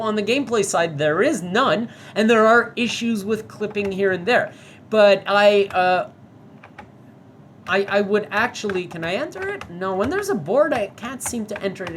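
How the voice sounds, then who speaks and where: A woman's voice: medium pitch, lively intonation, normal speed, slight background noise. A woman giving casual, profane commentary while playing a VR demo, often reading in-game signs aloud. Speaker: VR game reviewer.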